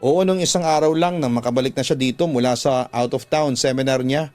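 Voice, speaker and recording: A man's voice: medium pitch, a little fast, darkish tone, no room sound, close to an everyday voice. A man voicing a guilty, defensive young woman in a radio drama. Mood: sheepish. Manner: pleading, defensive. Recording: background music under the voice.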